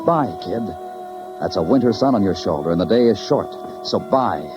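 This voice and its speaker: Man as brooding detective narrator, low voice, hard-boiled poetic phrasing, clipped, world-weary, old radio drama, prominent music. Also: expressive, normal speed, lightly acted. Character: moody, world-weary male New York police detective narrating. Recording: dull old radio recording, loud music.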